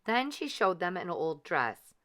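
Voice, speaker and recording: A woman narrates a children's story with deliberate, expressive phrasing. Her voice is bright and slightly low, with a very lively melody and an unhurried pace. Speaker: storybook reader.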